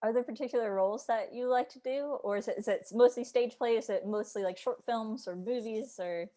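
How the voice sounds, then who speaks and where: A woman's voice: fairly high pitch, fairly quick, mellow. A woman hosting an interview in a conversational style, asking questions as an editor who talks with authors. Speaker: host, developmental editor.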